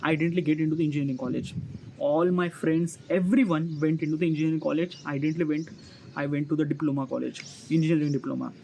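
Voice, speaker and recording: An Indian-accented man in his early thirties speaks off the cuff to his viewers in a fairly high voice. His delivery is halting and circles back on itself, padded with repeated filler phrases. Birdsong and faint ambient noise sit behind him. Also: fairly even intonation, creaky, warm tone, low-quality recording. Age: early 30s. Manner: halting, repetitive, filler-heavy with 'kind of like'. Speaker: vlogger. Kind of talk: unscripted personal monologue to viewers. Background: chirping birds, ambient noise.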